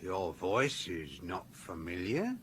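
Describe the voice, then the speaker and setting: A guarded informant facing danger in a radio play. His lowish voice is very unhurried and widely inflected, formal and resigned, over faint ambience. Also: very animated, a bit bright, a little hiss or hum, full character voice. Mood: wary. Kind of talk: radio drama acting.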